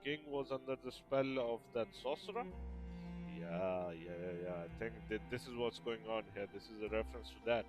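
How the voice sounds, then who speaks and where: A man's voice: on the low side, moderate pace. A man giving casual gaming commentary, musing and repeating himself. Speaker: gaming streamer. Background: game music.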